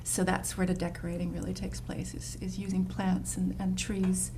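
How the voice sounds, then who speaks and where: A woman's voice: lowish voice, completely flat delivery, normal speed, slight background noise. Dutch-born woman chatting about gardening. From Netherlands. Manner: warm, enthusiastic, many fillers and restarts. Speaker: landscape designer guest. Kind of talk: casual interview chat.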